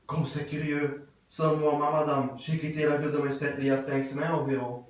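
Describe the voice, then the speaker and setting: A man playing a stiff, courteous middle-aged English gentleman in an absurd stage play, with formal, ceremonious and repetitive speech. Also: a little high, level tone, fairly quick, lightly acted. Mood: ceremoniously curious. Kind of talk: theatre acting of an absurd play. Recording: very echoey room, voice from far off.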